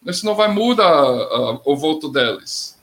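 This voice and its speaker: A man's voice: a little high, very animated, fairly bright tone. Middle-aged man with non-native Portuguese. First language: not Portuguese. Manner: plain-spoken, with halting grammar and restarts. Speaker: guest commentator. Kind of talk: live political discussion.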